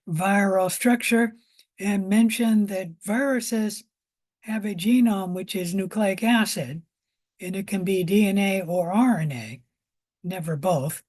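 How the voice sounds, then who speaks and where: A woman with a hoarse voice giving an informal biology lecture, explaining step by step with pauses and repetition. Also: mid-range voice, mellow.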